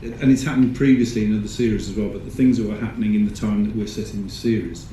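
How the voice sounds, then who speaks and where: Measured low-voiced man on stage. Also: steady tone, warm tone. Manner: thoughtful, measured explanations. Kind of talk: panel Q&A answers. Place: large screening hall. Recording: echoey hall with audience noise.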